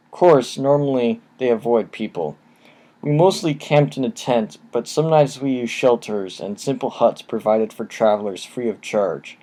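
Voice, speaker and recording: A man's voice: mid-range voice, warm tone. Man reading horror stories on a cheap mic. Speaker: narrator. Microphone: cheap microphone.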